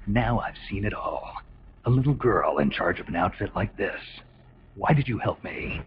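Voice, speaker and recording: An actor playing a gruff, wary soldier hero in a video game, speaking in terse, questioning phrases. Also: male voice, fairly low pitch, lively intonation, moderate pace, slight background noise, close to an everyday voice. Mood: suspicious, puzzled. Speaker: soldier, infiltrator. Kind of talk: scripted video game voice acting. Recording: muffled lo-fi game audio with music.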